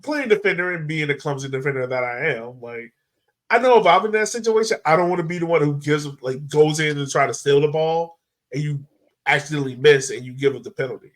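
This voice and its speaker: Higher-voiced man hosting casual soccer chat. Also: brisk. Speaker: soccer podcast host.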